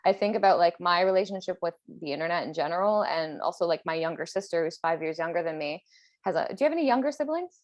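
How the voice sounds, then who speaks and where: A woman in her thirties chatting casually and candidly as a podcast host, thinking out loud with plenty of "like". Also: fairly low pitch, lively intonation, fast talker. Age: 30s. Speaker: podcast host and personal trainer.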